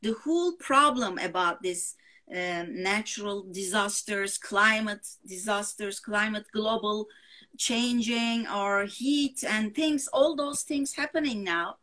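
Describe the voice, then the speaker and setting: A woman with a Turkish accent and Turkish as her first language answers interview questions in English. Her voice sits a little high, and she often pauses on fillers while she searches for the right word. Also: a bit bright. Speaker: novelist guest. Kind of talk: interview conversation.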